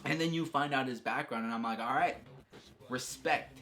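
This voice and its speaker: A man's voice: medium pitch, normal speed, bright, forceful tone. A man giving casual, unscripted video game commentary as a host, talking enthusiastically with slang and emphatic exclamations.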